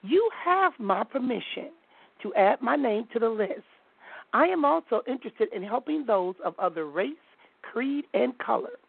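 A woman's voice: on the high side, big pitch swings, moderate pace, vocal fry, slightly rough, no echo. A woman hosting a call-in talk show, blunt and outspoken, laughing often as she talks. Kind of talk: casual talk show over a phone line. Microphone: phone call. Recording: tinny phone line, dry.

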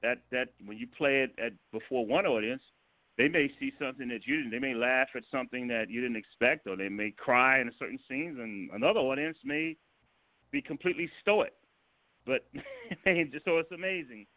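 A man speaks at a middling pitch over a thin, phone-like line. He explains in a casual interview style, halting as he goes and chuckling here and there. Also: expressive, no echo. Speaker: guest, stage and film director. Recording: narrow phone-line sound.

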